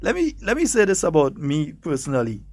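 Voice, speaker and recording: A man's voice: a little high, wildly swooping pitch, brisk, no room sound. A man with a Trinidadian Grenadian accent, hosting a political talk show in a studio, emphatic and defiant, repeating points for effect. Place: radio studio.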